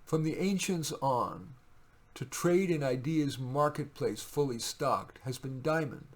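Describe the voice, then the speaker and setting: A man's voice: medium pitch, unhurried. A man reading his own poetry, theatrical and emphatic in the verse, with casual asides in between. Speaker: poet reading own work.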